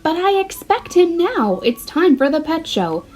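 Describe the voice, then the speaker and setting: A woman's voice: very high-pitched, big pitch swings, a little slow, darkish tone, a little hiss or hum, pitched above the performer's own voice, heavily acted. A woman voicing an earnest young boy from a children's storybook. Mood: anxious, calling. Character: young boy Archie, earnest, independent pet owner.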